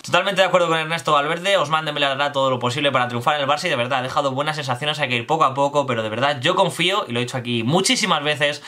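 A young man, talking to camera from his bedroom about football news in a chatty, energetic way. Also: fairly high pitch. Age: young adult. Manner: fast, energetic and chatty, addressing viewers directly. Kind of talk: casual news commentary to camera. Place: bedroom with posters.

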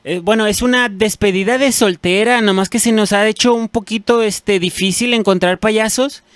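Man putting on a high-pitched coy woman. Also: fairly even intonation, moderate pace, no echo, natural voice. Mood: hesitant, polite. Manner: hesitant, coy, polite. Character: chatty bashful woman arranging a bachelorette party. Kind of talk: prank phone call acting.

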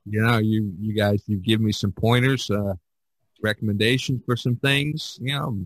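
A man hosting a casual podcast chat, reminiscing in a halting, rambling way and often repeating his words. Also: medium pitch, a bit bright, no room sound. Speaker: podcast host.

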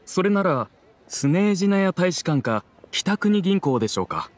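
Dutiful, expressive male subordinate. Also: sits high, moderate pace, slight background noise. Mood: attentive. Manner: polite, formal. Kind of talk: Japanese anime game voice acting.

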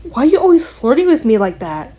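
A woman's voice: sits high, sing-song, a little fast, warm tone. A woman voicing an earnest, wary young bat heroine in an amateur cartoon, talking in a casual, hesitant way. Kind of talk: amateur animated voice acting.

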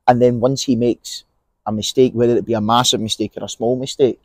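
A man's voice: mid-range voice, brisk, no echo. Scottish man chatting football, dry lapel sound. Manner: relaxed, opinionated, many fillers and restarts. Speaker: podcast co-host. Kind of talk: casual football chat. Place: outdoors in an empty stadium stand. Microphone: lapel mic.

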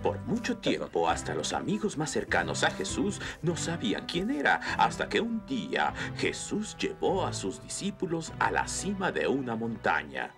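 A lively-toned storytelling baker. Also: male voice, mid-range voice, normal speed, vocal fry, few pauses, over music. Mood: calm.

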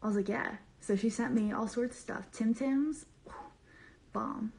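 Young woman on a phone livestream from her bedroom, kinda high voice, bubbly and rambly, lots of slang. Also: a little slow, creaky, darkish tone. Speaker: social media creator on a live stream. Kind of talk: casual livestream chat reading comments. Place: bedroom, small indoor room. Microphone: phone camera at arm's length.

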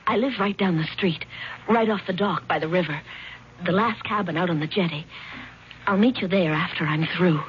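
An actress playing an ashamed young waitress and estranged wife in a 1970s radio drama, hesitant, weary and pleading. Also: female voice, lowish voice, normal speed, natural voice. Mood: nervous, evasive. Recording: dull, noisy 1970s radio recording.

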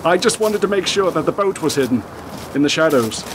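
A man's voice: fairly high pitch, animated, a little fast, background noise, lightly acted. A man playing a polite, reassuring, understated government official in a radio play. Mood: calm, reassuring.

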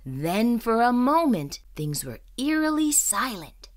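Female children's storyteller, higher-pitched and very animated, dramatic suspenseful delivery. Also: slow. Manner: suspenseful, theatrical, emphatic. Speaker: storyteller reading a children's book aloud. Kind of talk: dramatic read-aloud narration.

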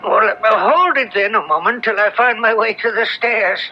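An actress in an old radio drama playing a weary, grumbling old jailer with aged bones. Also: female voice, a little high, normal speed, natural voice. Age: older. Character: creaky old jailer climbing prison stairs, weary and grumbling. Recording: noisy, dull old radio recording.

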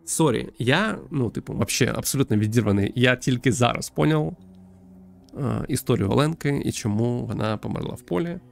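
A man in his thirties from Ukraine, thoughtfully and haltingly commenting on a visual novel as he livestreams on a close mic. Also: mid-range voice, expressive, creaky. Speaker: game streamer. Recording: music behind the voice. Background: background game music.

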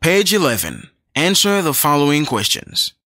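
A man reads a language textbook aloud, his pitch leaping up and down in a sing-song way. He speaks slowly and clearly for learners. The recording is close and dry, with no room sound. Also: wildly swooping pitch, bright, forceful tone, no echo. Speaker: textbook audio reader. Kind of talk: scripted dialogue reading for learners.

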